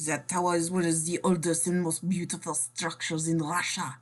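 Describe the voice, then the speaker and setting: A man playing a proud, stubborn Russian general in a script read, declamatory and deliberate. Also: on the high side, level tone, natural voice. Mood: indignant.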